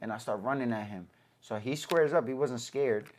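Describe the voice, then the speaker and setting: A man's voice: mid-range voice, normal speed, slight background noise. A man on a casual podcast, telling a story with brash energy and heavy slang. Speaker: guest.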